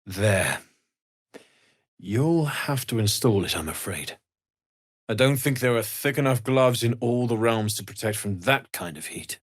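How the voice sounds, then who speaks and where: A kindly fantasy smith from a video game, with a mid-pitched voice that has a husky grain. He speaks softly and sincerely, with world-weary concern and an earnest, gentle temper. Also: animated, measured pace. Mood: grave, concerned.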